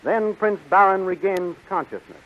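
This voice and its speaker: A man's voice: high voice, moderate pace. A man narrating and announcing a 1930s radio serial in a dramatic, emphatic, declamatory style. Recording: muffled, hissy 1930s radio recording.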